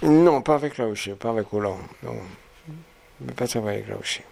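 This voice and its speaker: Gravelly older man, hesitant. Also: mid-range voice, fairly quick, mellow. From Martinique. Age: 70s. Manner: hesitant, many fillers and restarts. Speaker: photographer guest. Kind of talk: interview answers.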